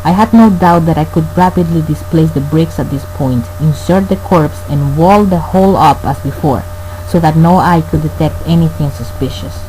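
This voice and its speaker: A man playing a brooding murderer who narrates his crime in a radio play, formal and deliberate. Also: fairly high pitch, mellow, close to an everyday voice, poor audio. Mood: brooding, calculating. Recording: faint hum and noise.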